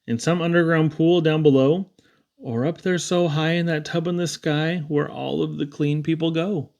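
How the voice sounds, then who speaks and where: A man's voice: on the high side, steady tone, normal speed, creaky, a little mellow. A man reading humorous children's poems aloud in a theatrical, playful storytelling manner. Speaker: poetry reader.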